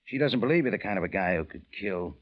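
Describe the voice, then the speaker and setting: A man's voice: on the low side, lively intonation, fast, lightly acted. An actor playing a tough, unflappable American adventurer who tells his own case in clipped, wry 1940s radio style. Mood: dry, firm. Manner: clipped, wry, hard-boiled. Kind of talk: 1940s radio detective acting and first-person narration. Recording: muffled old radio broadcast with music.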